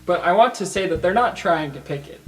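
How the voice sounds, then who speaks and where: A man with a fairly high voice plays a tabletop game, describing his character's moves casually and off the cuff. Music is clearly audible behind him. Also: expressive, a little fast, fairly bright tone. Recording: background music under voice.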